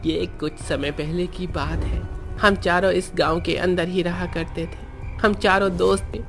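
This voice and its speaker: A man's voice: high-pitched, fairly even intonation, measured pace, vocal fry, soft background music. A man playing a brooding, vengeful ghost boy in a horror story, speaking in a dramatic, ominous way.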